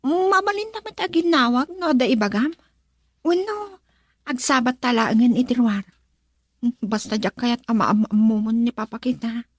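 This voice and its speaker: A female actor with a Filipino accent and a breathy voice, playing a guarded, dutiful young woman in a romantic radio drama. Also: a little high, moderate pace, no echo, clean studio sound, close to an everyday voice. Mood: coy, hesitant.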